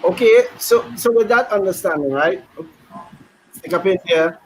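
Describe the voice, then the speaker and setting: A man hosting a panel show, halting and stumbling a little as he introduces guests. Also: high voice, expressive, slight background noise, low-quality recording. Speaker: host. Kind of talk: panel discussion.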